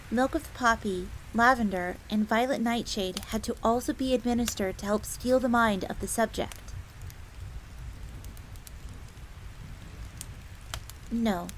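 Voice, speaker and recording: A woman's voice: fairly high pitch, close to an everyday voice. A woman playing an earnest, devoted young fox maid in a scripted fantasy roleplay audio drama, bookish and speaking of herself in the third person. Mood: earnest, explaining. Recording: steady ambient noise, crackling fire.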